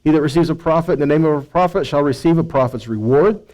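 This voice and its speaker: A man's voice: fairly high pitch, quick pace, darkish tone, rough recording. A man giving an informal Bible teaching, reading scripture and putting rhetorical questions to his listeners.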